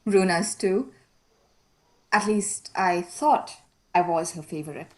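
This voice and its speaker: A woman's voice: on the low side, takes it slowly, darkish tone. Woman acting a wistful, reserved young lady. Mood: wistful, open. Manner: polite, guarded, wistful. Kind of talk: period radio mystery acting.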